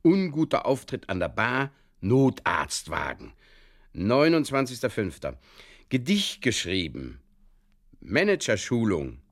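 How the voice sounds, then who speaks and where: A man playing a despairing drinker who reads out absurd diary entries in terse, deadpan fragments, in a comic audio drama. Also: mid-range voice, takes it slowly, exaggerated voice. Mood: glum deadpan.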